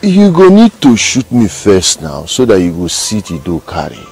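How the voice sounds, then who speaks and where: Sardonic Nigerian male gang boss, film drama, deep voice, very animated delivery, lecturing and taunting, faint music behind. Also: moderate pace, slightly rough, full character voice. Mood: tense, defiant. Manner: taunting, lecturing, rhetorical questions. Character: domineering, sardonic male gang boss, self-styled master.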